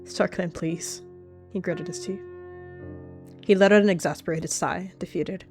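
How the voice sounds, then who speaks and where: A woman narrating a roleplay story in a steady, descriptive way. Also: lowish voice, moderate pace, faint music behind. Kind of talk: narrating a warrior-cat roleplay.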